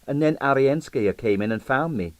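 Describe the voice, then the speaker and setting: A man's voice: mid-range voice, expressive, moderate pace, no echo, lightly acted. Man voicing a mischievous, confiding ballerina. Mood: confiding, upset. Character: mischievous, candid young Russian ballerina.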